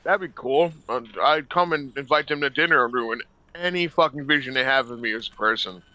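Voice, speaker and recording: A man's voice: mid-range voice, a little fast, pushed and bright, low-quality recording. A man chatting casually in a blunt, profane, slangy way, rambling through his opinions.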